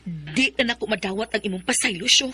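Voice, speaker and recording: A woman playing a heartbroken young woman in a radio drama, who speaks emotionally and turns soft and tearful at times. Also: mid-range voice, animated, brisk. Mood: hurt. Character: heartbroken young woman falling in love again.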